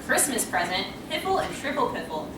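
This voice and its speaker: A woman's voice: mid-range voice, sing-song, fairly quick, lightly acted. A woman voicing a grumpy, scornful male badger in a storybook readers' theater. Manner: scornful, grumbling, exclaiming. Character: grumpy male badger, sleepy and sensible loner. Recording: echoey room, distant mic, noisy.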